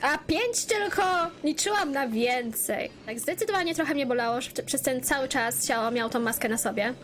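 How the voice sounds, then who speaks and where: Young Polish female gamer, high voice, casual reactive commentary over clearly audible music. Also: a little fast, a bit bright. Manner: informal, reacts aloud to the game. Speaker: gaming video creator. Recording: music playing behind the voice.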